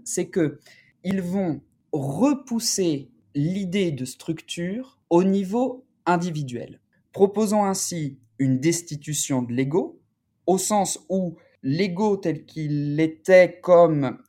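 A man speaking as an academic researcher in an interview, explaining in a deliberate, halting, scholarly way. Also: fairly high pitch, warm tone.

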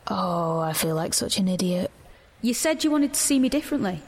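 A woman's voice: on the low side, very animated, brisk. An actress playing a guarded, conflicted teenage girl in an audio drama, earnest and defensive. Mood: awkward. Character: guarded, conflicted teenage girl protagonist narrating her story. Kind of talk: audio drama dialogue and first-person narration. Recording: background noise, outdoor ambience.

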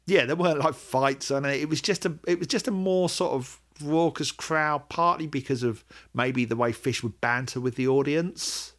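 A man's voice: mid-range voice, normal speed, a bit bright. A middle-aged man hosting a podcast, chatting casually and hesitantly about music fandom, often rambling and correcting himself. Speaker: podcast host.

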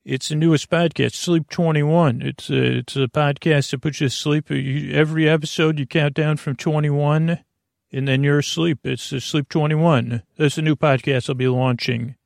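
A man with a creaky voice hosting a sleep podcast, rambling through a bedtime monologue in a halting, gently goofy way, often repeating himself. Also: fairly high pitch, fairly even intonation, no echo, clean studio sound. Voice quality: creaky, gravelly voice. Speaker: podcast host.

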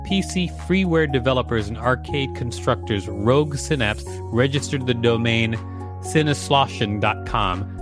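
A man co-hosting a podcast, reading a scripted encyclopedia-style article aloud. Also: mid-range voice.

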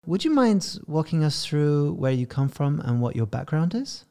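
A man's voice: a little high, big pitch swings, moderate pace, warm tone. English man hosting a podcast interview. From England.

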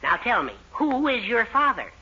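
An actor playing a meek, nervous henpecked husband in a 1940s radio sitcom, hesitant and placating. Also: male voice, very high voice, very animated, moderate pace. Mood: earnest. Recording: dull 1940s radio with audience, faint noise.

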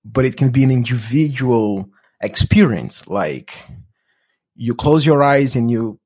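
A man's voice: mid-range voice, animated, measured pace, a little mellow, husky. A man from Brazil with a Brazilian Portuguese accent, talking in a casual, reflective way with many restarts and fillers. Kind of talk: casual conversation.

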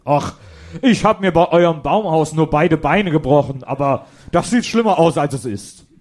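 A man's voice: a little high, animated, normal speed, a little mellow. A man playing a cheerfully stoic police inspector in a comedic audio drama, jovially understating everything. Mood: breezy.

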